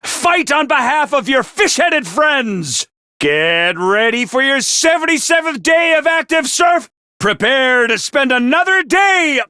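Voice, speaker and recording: A hyper, gleeful game mascot who commands troops, his very high voice swooping wildly up and down. He drags out his words slowly and theatrically, with a sharp, tense tone. The studio sound is dry and close. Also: very animated, dry recording, exaggerated voice. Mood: excited urgency. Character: manic, mischievous small male academy mascot commander. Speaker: game character voice lines. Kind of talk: game voice acting.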